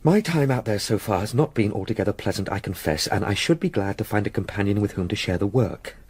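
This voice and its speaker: An actor playing a determined, polite young English solicitor in a period radio drama, speaking in formal, precise phrases. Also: male voice, on the low side, animated, quick pace, a little mellow, slightly rough, no room sound. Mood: firm, insistent.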